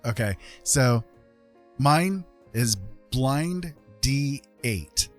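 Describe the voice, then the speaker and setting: A man's voice: mid-range voice, animated, very slow. A man hosting a casual live stream, telling jokes and chatting playfully with viewers. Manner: playful, jokey, conversational. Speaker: live stream host and digital artist. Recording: music behind the voice. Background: background music.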